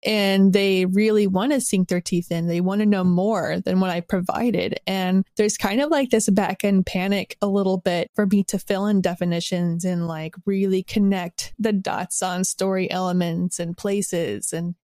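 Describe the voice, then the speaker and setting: A woman's voice: medium pitch, fairly even intonation, normal speed, few pauses, mellow, no room sound, clean studio sound. A woman talking casually as an interview guest, informal and self-deprecating, often saying "like" and "kind of". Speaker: interview guest, audio drama writer.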